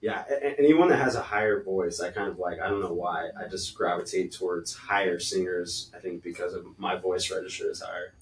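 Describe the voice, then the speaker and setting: Band singer guy, kinda low voice, rambly, a bit off mic in a roomy space. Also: a little fast, creaky, hardly any pauses, slight background noise. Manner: rambling, restarts phrases. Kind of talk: casual podcast chat. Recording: roomy echo, distant mic.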